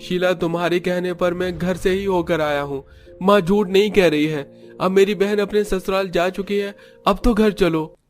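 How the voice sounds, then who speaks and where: Guy doing a young husband, cartoon, highish voice, coaxing and familiar. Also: fairly even intonation, normal speed, faint music behind, close to an everyday voice. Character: young married man, persuasive husband.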